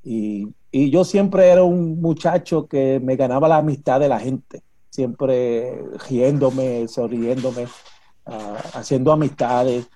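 An older man from Puerto Rico with a Puerto Rican Spanish accent, a retired police officer telling his story as an interview guest in a halting way, repeating words and using many fillers. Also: medium pitch, warm tone. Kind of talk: casual interview chat.

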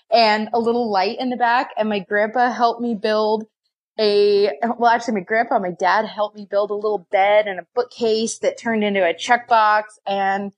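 A young woman, a podcast guest, telling stories about her past in a chatty way, laughing often and acting out what she once said to herself. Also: on the high side. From raised in many countries, partly Scotland and Nevada. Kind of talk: casual storytelling.

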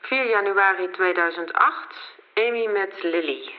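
A woman's voice: mid-range voice, a little slow, lightly acted. Woman acting a reporter on phone-like tape. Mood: attentive, probing. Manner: brief, probing questions. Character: probing female reporter conducting a recorded interview. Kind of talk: audio drama, recorded interview. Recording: roomy, phone-like tape with hiss.

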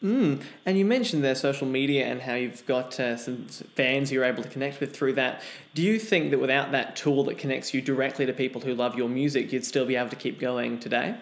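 A man hosts an interview in a mid-pitched voice. He puts his questions politely, and the sound around his voice is noticeably roomy and echoey. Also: brisk, few pauses. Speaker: interviewer, host.

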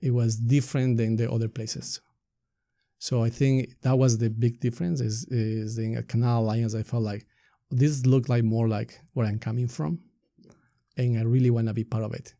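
A middle-aged man with an Ecuadorian Spanish accent speaks in a mellow, creaky voice, recorded close and dry. He is a native Spanish speaker who explains things earnestly in an interview, often posing rhetorical questions to make his point. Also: mid-range voice, level tone, normal speed, dry recording. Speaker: nonprofit staff member being interviewed. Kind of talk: reflective interview answers.

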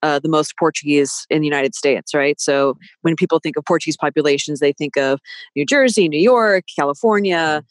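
A woman's voice: sits low, brisk, no echo. A woman co-hosting a podcast, asking a guest questions in a casual, conversational way. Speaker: podcast co-host.

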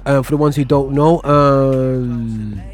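A man's voice: medium pitch, steady tone, slow. A man hosting a casual talk show, laid-back, laughing often and using British slang. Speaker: show host. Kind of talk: casual podcast chat.

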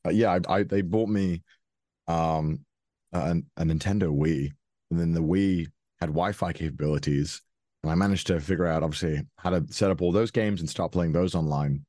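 A young man with a very deep voice talks casually as an interview guest. He stumbles and restarts often and laughs easily. The recording is close and dry. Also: moderate pace, warm tone, no room sound. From Wales. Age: 20s. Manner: hesitant, many restarts and fillers, laughs often.

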